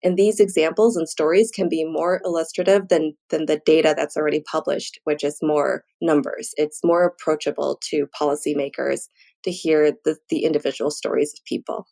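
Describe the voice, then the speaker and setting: Creaky-voiced woman explaining research in an interview. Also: deep voice, steady tone, normal speed, dark tone. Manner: articulate, explains in structured points with examples. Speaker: guest researcher, dermatologist. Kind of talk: interview, explanatory academic talk.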